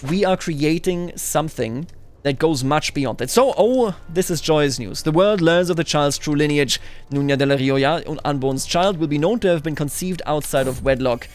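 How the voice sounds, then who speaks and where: A man's voice: on the high side, normal speed. A man hosting a game playthrough, musing aloud and reading in-game text with playful theatrical flair. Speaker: host of a let's play series.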